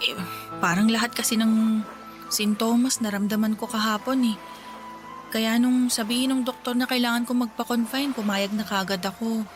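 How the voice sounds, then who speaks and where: A woman's voice: a little high, close to an everyday voice. A woman playing a sick, weary young female office worker confiding in others in a Tagalog radio drama. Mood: weary, chatty. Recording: some noise behind the voice.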